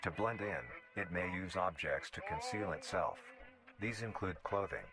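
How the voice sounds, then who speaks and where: A man reading scripted narration in the style of a nature documentary, formal, measured and matter-of-fact. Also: low-pitched, animated, a crowd in the background. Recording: music behind the voice. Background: clearly audible music.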